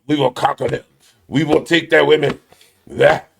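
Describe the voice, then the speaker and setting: Loud, preachy man ranting on a show. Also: fairly high pitch, fairly even intonation, moderate pace, vocal fry, background noise. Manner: emphatic, repetitive, preacher-like cadence; loud, big voice. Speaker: commentary show host. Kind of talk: fiery commentary rant.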